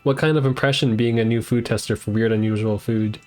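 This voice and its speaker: A man's voice: mid-range voice, steady tone, fairly quick, darkish tone. A man streaming a game, giving casual live commentary in a laid-back way and reading text from the screen. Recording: game music behind the voice.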